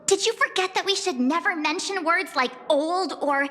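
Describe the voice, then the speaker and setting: A woman playing a perky, excitable young girl in a video game, chatty, exclamatory and teasing. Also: very high voice, moderate pace, big-room sound. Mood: exasperated.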